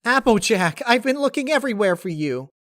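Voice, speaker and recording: A man's voice: extremely high pitch, big pitch swings, fairly quick, a bit bright, no echo, clean studio sound, voice pushed up, full character voice. A man voicing a gleeful, chatty young female cartoon pony who rambles breathlessly in a storybook reading. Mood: excited rambling.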